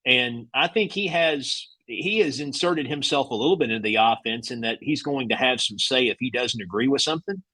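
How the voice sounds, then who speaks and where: A man's voice: mid-range voice, fairly quick, few pauses, sharp tone, slightly rough, no room sound. A man speaking as a broadcaster guest in casual sports talk, with a folksy, anecdotal manner. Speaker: radio play-by-play broadcaster, guest.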